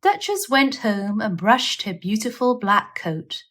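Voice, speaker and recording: A woman narrating a children's storybook aloud in a measured, expressive read-aloud style. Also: medium pitch. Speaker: storyteller reading a children's book.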